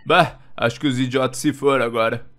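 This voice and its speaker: A man voicing a gloomy, sarcastic, deadpan chicken in a cartoon fan dub. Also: mid-range voice, fairly quick, very noisy, lightly acted.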